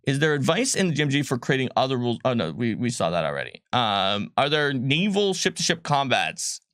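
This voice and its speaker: A man's voice: medium pitch, big pitch swings, fairly quick, a bit bright, no echo. Casual, rambling man in a dry room. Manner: informal, rambling, jokey asides. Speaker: game designer answering viewer questions on a livestream. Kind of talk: casual livestream Q&A chat.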